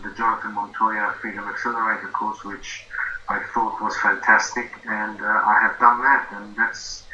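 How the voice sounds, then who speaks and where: A man's voice: fairly low pitch, pushed and bright. A man in his fifties with a South African accent, a guest speaking casually and hesitantly on a video call. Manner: hesitant, many fillers and restarts. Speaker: guest, affiliate marketing student. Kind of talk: casual interview over a call. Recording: lo-fi roomy call audio with faint noise.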